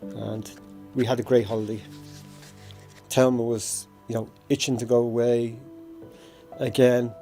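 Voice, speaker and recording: A man in his sixties with a Dublin Irish accent gives a personal memorial tribute in a measured, reminiscing way. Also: medium pitch, little pitch movement, warm tone, soft background music. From Dublin, Ireland. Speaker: bereaved brother giving a tribute.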